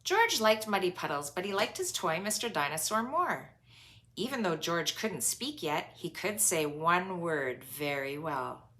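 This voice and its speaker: A woman reading a children's storybook aloud in an expressive, emphatic way, drawing out words for young listeners. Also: on the low side, unhurried.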